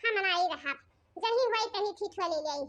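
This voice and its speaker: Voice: expressive, normal speed, fairly bright tone. A performer voicing an aggrieved, dramatic younger village woman in a comedy cartoon, with an eastern Hindi-belt accent, a chipmunk voice effect and repetitive, wailing complaints. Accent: eastern Hindi belt (Bhojpuri-Awadhi region). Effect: pitched-up chipmunk voice effect. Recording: dull lo-fi processed audio.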